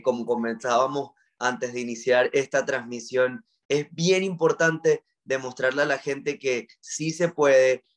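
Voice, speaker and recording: A man from Venezuela with a Maracaibo accent, a journalist telling his life story in an interview, earnest and reflective with frequent fillers. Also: mid-range voice. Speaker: journalist guest.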